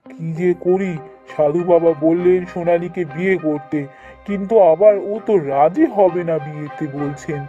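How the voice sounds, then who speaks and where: A man's voice: a little high, warm tone, muffled recording, natural voice. A man playing an eager, thrifty young village merchant in a folk-tale cartoon, fretful and exclamatory as he talks.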